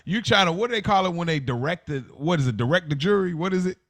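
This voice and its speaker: A man's voice: sits high, animated, quick pace, a bit bright, no room sound. A man co-hosting a casual podcast, making points emphatically and building them up through repetition. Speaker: podcast co-host.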